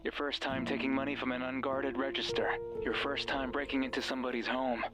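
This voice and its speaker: An actor playing a sinister, manipulative mysterious voice on a radio, bitter and commanding, narrating a horror game in the second person. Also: male voice, medium pitch, little pitch movement, brisk, over loud music, close to an everyday voice. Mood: scornful, brooding.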